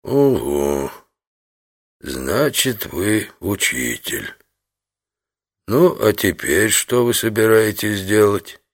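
An actor playing a wary old fisherman from a poor village in an audio drama, slow and questioning, curt but able to turn accommodating. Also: on the low side, lively intonation, full character voice. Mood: probing. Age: older. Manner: slow, questioning, drawn-out reactions.

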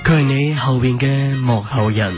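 A man's voice: medium pitch, moderate pace, natural voice. A man playing a mysterious, mock-grave announcer in a radio parody promo. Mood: mock-solemn. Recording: loud music over the voice.

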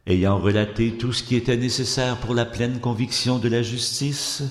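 A man's voice: mid-range voice, fairly even intonation, natural voice. A man playing a haunted, doomed murderer confessing, in solemn, theatrical literary narration. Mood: anguished. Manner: solemn, deliberate, theatrical phrasing. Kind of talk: dramatic literary audiobook narration. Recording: echoey hall-like reverb.

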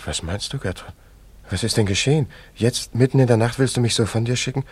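A man's voice: medium pitch, brisk, darkish tone, breathy edge, close to an everyday voice. A man playing an earnest, lovestruck young visitor in a radio play, tender and questioning. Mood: hurt, pleading. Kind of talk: German radio play acting.

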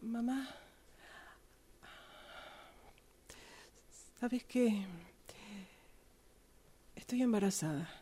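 A woman playing an anxious but determined pregnant schoolgirl in an improvised radio drama, with an Argentine accent and a hesitant, breathy delivery. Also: on the high side, expressive, dark tone, a little hiss or hum. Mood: nervous, hesitant. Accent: Argentine Spanish. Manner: hesitant, halting.

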